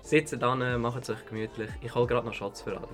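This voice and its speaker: A man's voice: medium pitch, fairly even intonation, fairly quick, soft background music, close to an everyday voice. Breezy teenage party host acted by a young man. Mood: sociable. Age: young adult. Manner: breezy slang with English words. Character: sociable, laid-back rich teenage party host. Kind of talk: amateur radio drama dialogue.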